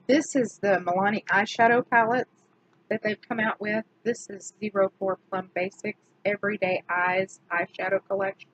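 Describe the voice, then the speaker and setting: A woman, slightly hoarse from allergies, chatting casually through a makeup product review and correcting herself as she goes. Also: medium pitch, fairly even intonation, poor audio. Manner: chatty, self-correcting, slow and deliberate. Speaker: beauty vlogger.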